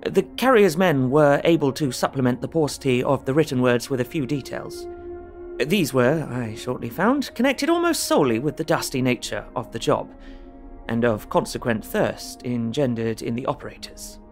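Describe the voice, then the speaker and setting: An actor playing a polite Victorian gentleman, reading his journal in a formal, precise and wordy manner. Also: mid-range voice, lively intonation, normal speed, close to an everyday voice. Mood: precise, businesslike. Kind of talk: dramatic audio journal narration. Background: music.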